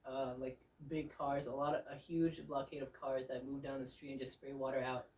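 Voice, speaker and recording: A woman's voice: very deep voice, flat delivery, brisk, a little mellow, recorded from a distance, low-quality recording. Upbeat young woman, a bit off-mic. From born in Burma, raised in New York. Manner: giggly and upbeat. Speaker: guest. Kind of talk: casual chat.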